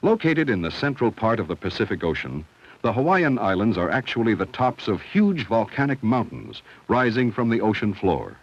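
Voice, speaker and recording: Low-pitched male narrator, vintage school film. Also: big pitch swings. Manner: measured, instructional, clearly enunciated. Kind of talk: scripted documentary narration, 1970 school film. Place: studio voice-over. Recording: dull, dry vintage film soundtrack.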